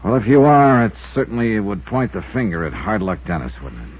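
On a dull old radio recording, an actor plays a tough American male investigator with a very animated, swooping delivery. He is dry, wry and clipped, in the hard-boiled style of fifties radio drama. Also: lowish voice, a little fast, slight background noise, lightly acted. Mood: probing, skeptical. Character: tough, wry male insurance investigator narrating his own case. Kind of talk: 1950s radio detective drama acting and narration.

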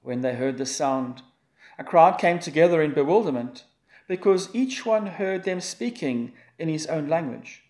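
A man's voice: a little high, expressive, darkish tone. Solemn man leading prayer and scripture. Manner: solemn, measured liturgical reading. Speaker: pastor. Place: church.

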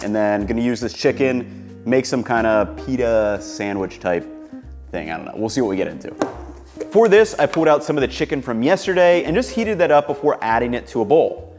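A young man in his twenties, upbeat and relaxed, narrating his home cooking and chatting to the camera in his kitchen. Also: mid-range voice. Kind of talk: cooking vlog voice-over and casual to-camera chat.

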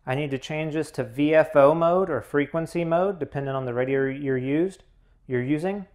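Male tutorial host, slightly high voice, methodical practical instruction. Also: moderate pace, darkish tone. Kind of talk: hands-on instructional narration.

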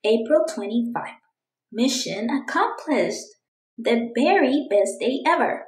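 Woman playing an eager, high-voiced young storybook girl. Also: lively intonation, takes it slowly, darkish tone, echoey room. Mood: excited, proud. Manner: exclamatory, chatty, dramatic announcements. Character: eager, dramatic young girl keeping a strawberry journal. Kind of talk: children's storybook read-aloud.

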